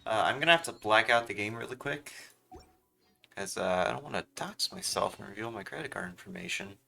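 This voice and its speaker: A man's voice: fairly low pitch, a little fast, gravelly, pushed and bright, soft background music. A young man giving casual livestream commentary as he plays a game. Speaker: game streamer.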